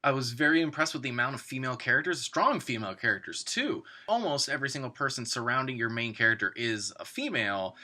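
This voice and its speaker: A man's voice: mid-range voice, expressive, quick pace, hardly any pauses, pushed and bright. A man giving an informal spoken review of a script, talking in an emphatic, conversational way.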